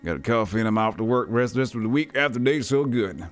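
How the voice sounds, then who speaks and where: A man's voice: mid-range voice, expressive, quick pace, faint music behind. A man from Texas with no regional accent, chatting casually as he streams a retro game, reading game text aloud and answering his chat. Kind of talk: casual gameplay commentary.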